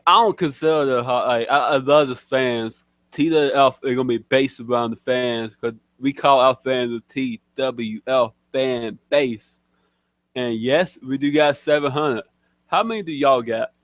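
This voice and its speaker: A man's voice: medium pitch, unhurried, a bit bright, dry recording. Hesitant young man. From Mississippi. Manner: hesitant, many fillers. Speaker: podcast host. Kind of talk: casual chat.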